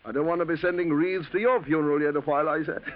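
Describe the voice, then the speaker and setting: Man playing a fussy, pompous office gentleman. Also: on the high side, steady tone, very fast, slight background noise, lightly acted. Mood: jovial, fussy. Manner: chortling, pompous, clubby. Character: fussy, garrulous, jovial gentleman office colleague. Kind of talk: 1940s radio acting. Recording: thin, dull old radio broadcast.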